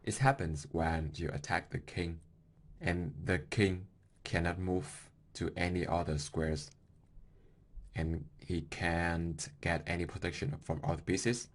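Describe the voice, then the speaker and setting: A man in his twenties from Vietnam with a Vietnamese accent, hosting a solo podcast in careful, halting English with small grammar slips. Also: sits low, fairly even intonation. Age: 20s. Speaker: podcast host.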